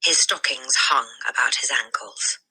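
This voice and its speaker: A woman reading a children's story aloud as a teacher, in expressive scripted narration. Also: rumbling low, a little slow, husky, poor audio.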